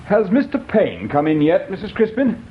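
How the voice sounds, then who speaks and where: An actor playing a courteous, sharp English detective inspector in a British radio drama, speaking formally and with measured understatement. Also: male voice, wildly swooping pitch. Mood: polite, probing. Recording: muffled old radio recording, rumbling noise.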